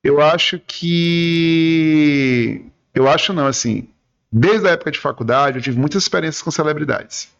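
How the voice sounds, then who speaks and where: A man's voice: a little high, a little slow, creaky, a bit bright, muffled recording. A man who works in advertising and entertainment, talking earnestly and at length in a radio interview, often restarting his sentences. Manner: earnest, explanatory, rambling with restarts. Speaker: guest, advertising and entertainment businessman.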